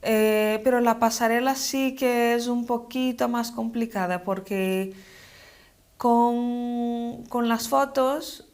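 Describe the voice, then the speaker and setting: A young woman with a non-native Spanish accent answering interview questions in a hesitant, measured, conversational way. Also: a little high, fairly even intonation, darkish tone. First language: not Spanish, speaks it as a second language. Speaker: interview guest, model and actress.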